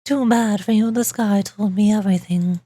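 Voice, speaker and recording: A woman's voice: medium pitch, normal speed, mellow, dry recording, clean studio sound, natural voice. A woman voicing a theatrically heroic young female detective with a dramatic, pompous delivery. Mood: brooding, grandiose.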